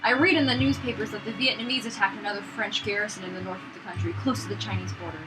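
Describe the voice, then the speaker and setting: A woman's voice: medium pitch, steady tone, very fast, background noise, close to an everyday voice. A woman voicing an earnest, eager young man, a painter, in a visual novel. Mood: tender.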